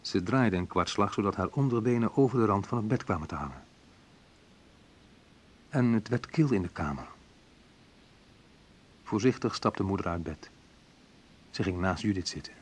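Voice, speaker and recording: A wounded man telling of his own youth in a radio play. His voice is low and rough-edged. He speaks colloquially, in short, clipped sentences. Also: lively intonation, normal speed, long pauses. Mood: subdued, sorrowful. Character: bitter, wounded male narrator recalling his youth. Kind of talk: dramatised first-person narration, radio play.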